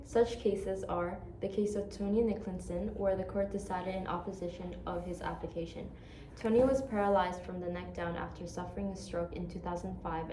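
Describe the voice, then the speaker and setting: A woman's voice: fairly low pitch, slight background noise. Young woman from Indonesia, echoey reading. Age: young adult. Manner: steady reading of case facts and statutes. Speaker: law student. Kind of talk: read-aloud presentation. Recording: echoey room, a bit far from the mic.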